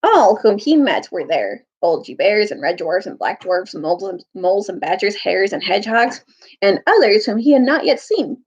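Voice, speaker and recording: A woman's voice: mid-range voice, very animated, moderate pace, slightly rough. A woman reading a children's novel aloud as a storyteller, expressively, now and then stumbling and rereading a line. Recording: dull lo-fi audio.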